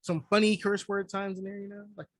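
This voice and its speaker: A man's voice: high-pitched, level tone, a little fast. A man co-hosting a movie review podcast, chatting casually in slang, cursing freely and repeating phrases to make his point.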